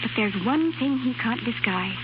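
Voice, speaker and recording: Casting: woman acting as frightened young female spy, high voice, urgent and secretive, dramatic 1930s radio style, muffled noisy broadcast. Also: expressive, normal speed, natural voice.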